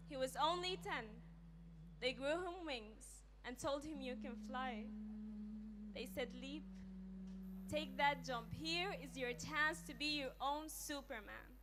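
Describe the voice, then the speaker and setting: A young woman performing spoken word poetry on stage, slow and emphatic with dramatic pauses. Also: high voice, pushed and bright, a little hiss or hum. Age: young adult.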